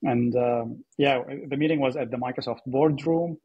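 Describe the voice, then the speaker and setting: A man from Syria speaking as a podcast guest in a conversational, halting way, repeating words as he thinks. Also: mid-range voice, a little mellow, husky, no room sound, lo-fi sound. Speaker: podcast guest, AI startup co-founder. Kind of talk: conversational interview storytelling.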